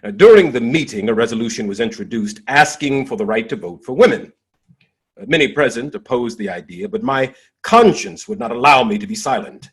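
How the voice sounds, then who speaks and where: A man acting as a dignified nineteenth-century abolitionist orator with a husky voice. He delivers a stage monologue in stately, impassioned phrases that swell and fall away, set off by deliberate pauses. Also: medium pitch, very animated, heavily acted. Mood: emphatic, righteous. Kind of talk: dramatic monologue, theatrical oratory.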